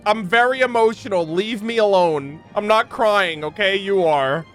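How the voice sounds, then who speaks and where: A man hosting a game reaction video, casual and excitable, with slangy, exclamatory commentary. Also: sits high, moderate pace, soft background music.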